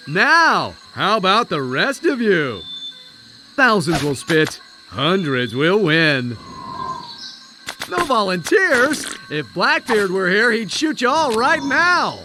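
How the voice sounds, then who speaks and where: An actor playing a showy, brash contest master of ceremonies in a cartoon adventure game, hyping and cajoling theatrically. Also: male voice, high voice, very animated, sharp tone, soft background music, exaggerated voice. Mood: goading. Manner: theatrical, drawn-out hype. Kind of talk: carnival-barker announcing in a cartoon adventure game.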